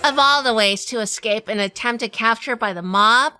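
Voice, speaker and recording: An actress playing a feisty, flirtatious and sardonic gangster's wife in a 1930s-style radio drama. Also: female voice, on the high side, moderate pace, over music, crowd noise, natural voice. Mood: wry narrating. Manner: sassy, sardonic. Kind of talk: hardboiled period radio drama dialogue and first-person narration.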